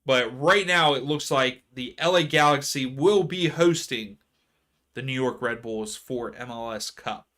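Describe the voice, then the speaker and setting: Hoarse man streaming a match watchalong. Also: mid-range voice, unhurried, fairly bright tone. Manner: chatty, reads chat aloud, rants about fouls. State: hoarse, losing his voice. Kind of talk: casual live match commentary.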